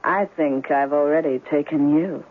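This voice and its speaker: A woman's voice: low voice, normal speed, soft background music, natural voice. An actress playing an alluring, evasive female client in a 1970s detective radio drama. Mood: coy. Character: alluring, evasive female client in trouble, noir femme fatale. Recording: muffled old radio broadcast.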